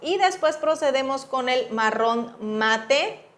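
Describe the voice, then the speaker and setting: High-pitched Mexican woman, chatty beauty vlogger. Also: expressive, slow pace, a bit bright. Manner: chatty, informal, uses affectionate diminutives. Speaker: beauty vlogger, channel host. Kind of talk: casual makeup product review.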